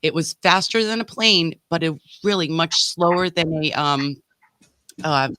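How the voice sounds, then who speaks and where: A woman's voice: low voice, measured pace, sharp tone, no echo. A woman with a New England accent telling stories informally in casual chat as a podcast co-host.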